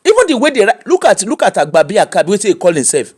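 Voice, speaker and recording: A man with a Nigerian accent giving opinionated commentary, animated and mocking, slipping into pidgin. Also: high voice, racing, slightly rough. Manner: animated, switches into pidgin and mimics people.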